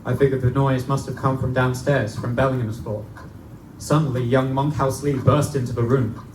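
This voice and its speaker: A man's voice: medium pitch, steady tone, moderate pace, background noise, close to an everyday voice. A man playing a young Victorian medical student who narrates a gothic horror tale in formal, old-fashioned language before a live audience. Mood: suspenseful. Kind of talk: live dramatic reading of gothic pulp horror. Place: live performance in a bookstore. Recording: echoey distant live room recording, loud guitar.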